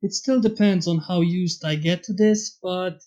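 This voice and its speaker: A man's voice: high voice, measured pace, darkish tone. A young adult man narrating a fan story as its reader. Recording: dull lo-fi with background music. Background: faint music.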